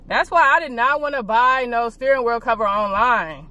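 High-voiced young American woman vlogging. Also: moderate pace, lo-fi sound. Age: young adult. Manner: playful, slangy, expressive. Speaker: beauty vlogger. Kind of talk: casual chat to camera. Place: inside a car. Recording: car cabin noise.

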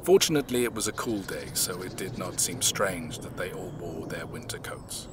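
A man acting in an audio drama, playing a reserved, measured man who recounts his family's past in a reflective way. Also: low-pitched, noisy background, lightly acted.

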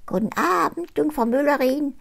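An older woman reading a fairy tale aloud, voicing a small, sly male goblin who bargains for payment in a high, thin put-on voice. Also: moderate pace, vocal fry. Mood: sly bargaining.

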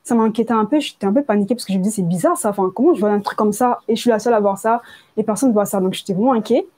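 Woman, late teens, mellow timbre, hesitant colloquial delivery, personal testimony, repeated words. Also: medium pitch, racing. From Congo, moved to France at age nine. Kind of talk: personal testimony, informal spoken storytelling.

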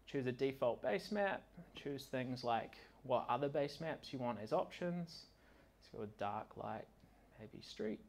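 A man presenting an informal technical demo at an indoor meetup, speaking casually and a little haltingly as he works through the software. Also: medium pitch, animated. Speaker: conference speaker, software consultant. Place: indoor meetup venue with large windows and projector screen.

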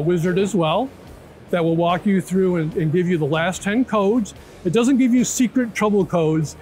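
A man's voice: sits high, darkish tone. A man in his fifties presenting a product in an interview, explaining step by step and checking in with the listener. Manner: explanatory, checks in with "right?" and "okay?". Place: large indoor trade show floor. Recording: music bed under trade show talk. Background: music.

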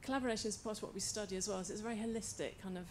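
A woman academic speaking from the audience of a panel event in an articulate, thoughtful, measured way. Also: medium pitch, a little hiss or hum. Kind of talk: panel discussion remarks. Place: lecture hall, in the audience.

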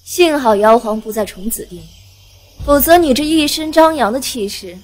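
A woman's voice: sits high, animated, normal speed. Woman voicing a teasing fox-clan envoy. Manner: teasing, drawling.

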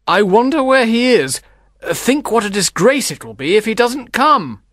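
A woman's voice: a little high, sing-song, moderate pace, no echo, studio quality, voice pushed up. Woman acting anxious, offended older father. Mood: worried. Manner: impatient, bitter.

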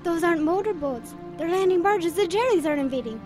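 A nervous, curious young boy, pitched very high. The wartime radio drama has clear music behind the voice. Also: animated, a little fast. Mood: amazed, alarmed. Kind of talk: audio drama acting.

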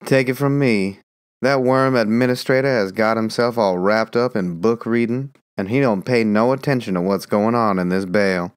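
A woman's voice: rumbling low, normal speed, clear, resonant tone, pitched below the performer's own voice. A woman voicing a boastful, scheming man who talks in a brash, folksy way.

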